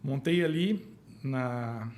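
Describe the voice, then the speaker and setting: A Brazilian man in his forties, an accountant talking as a podcast guest, calm and plain, hesitating and restarting now and then. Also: medium pitch, extremely slow. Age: 40s. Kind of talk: casual interview chat.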